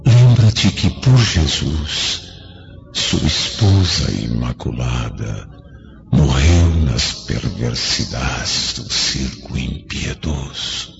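A Brazilian man acting a compassionate, solemn spirit of an old friend in a religious radio drama, pleading gravely like a preacher. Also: very deep voice, lively intonation, extremely slow, exaggerated voice. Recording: dull lo-fi audio, loud music behind. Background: dramatic music.

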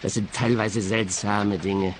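Schauspieler als ernster Schrottplatzchef, leichte Hintergrundgeräusche. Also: male voice, on the low side, steady tone, a little slow, a little hiss or hum, close to an everyday voice. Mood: matter-of-fact. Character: earnest, matter-of-fact male scrapyard boss. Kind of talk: radio play dialogue.

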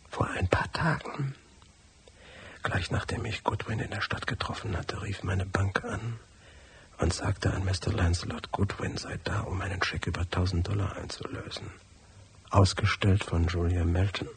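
An actor playing a worried, well-off husband hiring a detective in a German radio drama, speaking formally in a hushed, gravelly voice. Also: male voice, deep voice, normal speed, whispered. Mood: worried, subdued.

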